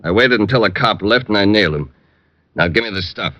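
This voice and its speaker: A man's voice: lowish voice, lively intonation, a little fast, bright, forceful tone, no echo. An actor playing an impatient, menacing male gangster in 1940s radio style, terse and threatening. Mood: cold, impatient. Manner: terse, threatening, tough-talking. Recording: muffled old radio recording.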